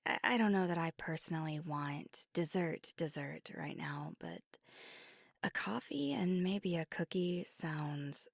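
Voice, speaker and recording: A woman playing a flirty, teasing, affectionate vampire on a date in an intimate ASMR roleplay, speaking softly and often dropping to a whisper. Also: sits low, vocal fry, no room sound, clean studio sound, full character voice. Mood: warm, flirty. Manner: playful, teasing, slow and intimate. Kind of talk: ASMR roleplay, intimate one-sided dialogue.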